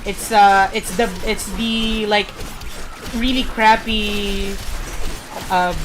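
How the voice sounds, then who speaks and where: A woman streamer giving rambling gameplay commentary, full of fillers and pauses to search for words. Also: mid-range voice, fairly even intonation, background noise.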